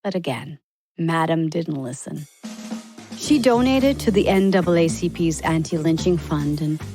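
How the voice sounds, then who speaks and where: A woman's voice: mid-range voice, a little mellow. A woman reading a scripted biographical story as a podcast narrator for young listeners.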